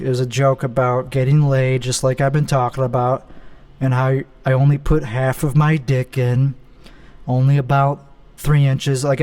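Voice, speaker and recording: A man's voice: medium pitch, darkish tone. A young man hosting a casual solo show, talking bluntly and rambling with self-mocking asides. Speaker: podcast host.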